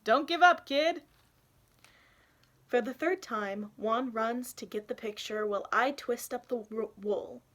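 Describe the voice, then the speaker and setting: A young adult woman reading a picture book aloud expressively, in an animated way, for young listeners. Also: fairly high pitch, unhurried, hardly any pauses. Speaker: read-aloud storyteller, youth intern.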